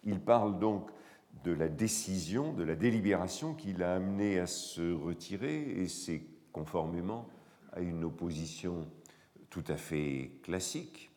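Hoarse, slow, deep-voiced male lecturer. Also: animated, warm tone, big-room sound. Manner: slow, halting, with many pauses and fillers. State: hoarse from a cold. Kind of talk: academic lecture. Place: lecture hall.

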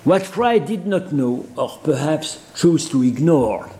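A man's voice: a little high, animated, dark tone, a little hiss or hum. Hesitant man with a Persian accent. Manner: hesitant, halting with many fillers and restarts. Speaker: academic lecturer. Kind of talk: academic seminar lecture.

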